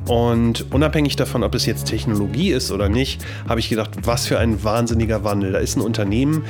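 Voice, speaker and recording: A man's voice: medium pitch, quick pace, over music. A man hosting a podcast, talking casually with lots of filler sounds while he has a cold. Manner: chatty, many filler sounds. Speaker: podcast host. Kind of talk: casual podcast intro and interview.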